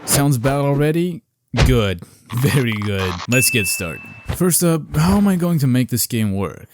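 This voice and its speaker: A man's voice: medium pitch, sing-song, unhurried, heavy background noise. A man narrating a scripted but casual video devlog in a self-deprecating, sarcastic way.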